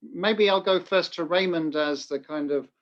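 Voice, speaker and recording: Guy moderating a webinar, lots of uhs, bit high voice, muffled call. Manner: halting, many filled pauses. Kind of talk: moderating a panel. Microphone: video call. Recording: muffled video call audio.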